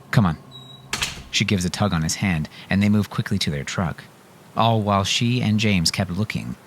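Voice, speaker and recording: A man's voice: low-pitched, slight background noise. A man narrating scripted fiction dramatically, as an audiobook narrator.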